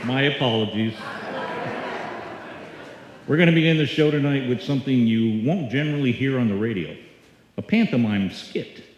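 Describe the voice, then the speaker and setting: A man hosting a live old-time radio evening, welcoming the audience and telling stories in a droll, deliberate way. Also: mid-range voice, dull audio, slight background noise. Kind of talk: live stage welcome and storytelling. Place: live performance in a hall before an audience. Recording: echoey hall, picked up from the stage.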